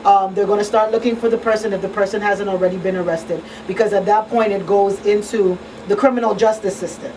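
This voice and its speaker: A woman's voice: medium pitch, brisk, few pauses. A woman hosting a radio talk show, explaining things in a conversational way like a counselor. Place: small radio studio. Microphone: studio broadcast mic on boom arm. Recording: distant pickup, steady room noise.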